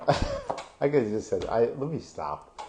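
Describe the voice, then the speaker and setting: A man's voice: medium pitch, big pitch swings, normal speed, mellow, slight background noise. A middle-aged man co-hosting a casual real estate stats chat, rambling with many fillers and restarts and laughing often. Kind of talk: casual live stat chat.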